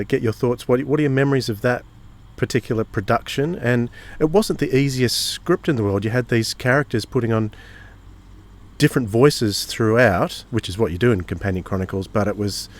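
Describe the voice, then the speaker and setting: A man hosting a podcast interview, asking questions in a casual, halting way. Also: medium pitch.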